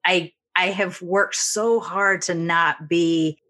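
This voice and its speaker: Female podcast host, lower register, candid and reflective, quick soft laughs. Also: steady tone. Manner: open, reflective, often laughing softly. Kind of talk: candid conversational interview.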